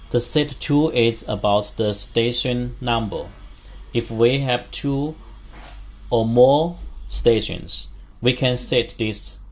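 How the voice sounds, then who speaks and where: A man who is not a native English speaker, giving a step-by-step product demonstration in short, halting phrases. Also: lowish voice, a little mellow.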